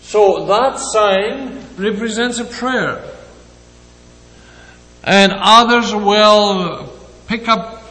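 An older man with a Greek accent preaches a sermon in a fairly high voice, pausing often and hesitating as he goes. His words come slowly and deliberately. The sound has a long, hall-like reverb around it. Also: bright, forceful tone. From Greece. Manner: slow and deliberate, with frequent hesitations.

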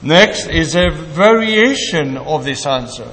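A man preaching a sermon in a slow, deliberate way, with pauses and fillers, in a church. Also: fairly high pitch, animated, a bit bright. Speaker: pastor. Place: church sanctuary. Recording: church hall reverb.

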